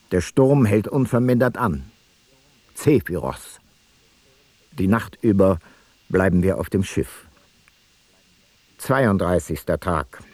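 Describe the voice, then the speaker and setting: A man playing an ancient Greek seafarer, reciting a ship's logbook in a solemn, measured way for a radio play. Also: on the low side, a little mellow, no echo.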